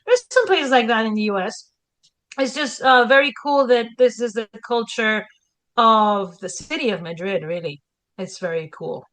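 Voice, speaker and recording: A woman's voice: fairly high pitch, normal speed. A woman from Spain with a Spanish accent, a historian co-hosting a casual podcast chat, telling stories with rhetorical questions and acted-out quotes. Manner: animated storyteller, rhetorical questions, acts out quotes. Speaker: historian co-host.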